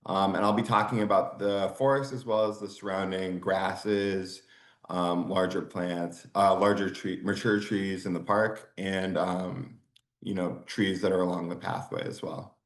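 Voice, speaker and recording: A man's voice: deep voice, fairly even intonation, unhurried, echoey room. A male geography professor giving a casual conference talk over a video call, with many fillers and restarts. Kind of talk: conference presentation.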